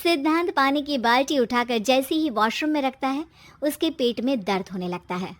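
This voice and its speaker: A woman's voice: sits high, normal speed. A woman reading scripted narration as the narrator of a story.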